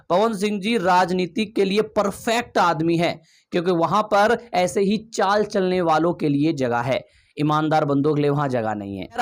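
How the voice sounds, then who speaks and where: Emphatic male commentator, higher voice. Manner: emphatic, rhetorical questions, addresses viewers as brother. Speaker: video commentator. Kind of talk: opinionated gossip commentary.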